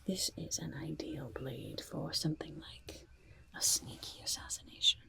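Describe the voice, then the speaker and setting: A woman's voice: low-pitched, huge pitch swings, normal speed, sharp tone, exaggerated voice. A woman voicing a sly, chatty goblin market peddler in a whispered ASMR roleplay, with wheedling salesmanship. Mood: sly, delighted. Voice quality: breathy, husky whisper with creaky edges. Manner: wheedling salesmanship, speaks of self in third person.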